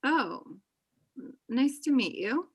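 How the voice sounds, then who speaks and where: A blunt young woman, played by an actress, with a voice on the high side. She is defensive and argumentative, as read in a comedy table read. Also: sing-song, takes it slowly, breathy edge, exaggerated voice. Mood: friendly. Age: young adult. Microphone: video call.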